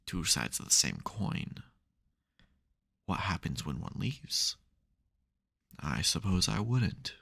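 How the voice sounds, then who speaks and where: A man performs a male supervillain for an intimate ASMR roleplay. His voice sits very low, with a heavy gravelly creak. He comes across as wry and exasperated, with a caring streak under the theatrics. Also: very animated, slow pace, long pauses, pushed and bright, full character voice. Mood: reflective, tender.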